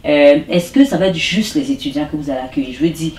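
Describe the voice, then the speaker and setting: Woman interviewer, attentive and steady, echoey room, mic at a distance. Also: low-pitched, fast talker. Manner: attentive, frequent short backchannels. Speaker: host, interviewer. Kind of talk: interview questions.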